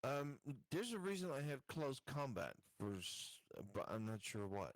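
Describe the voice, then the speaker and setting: A man's voice: mid-range voice, sing-song, measured pace, no room sound. A man playing in a tabletop game, hesitant as he counts through his character's stats. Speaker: player. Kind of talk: casual tabletop game chat.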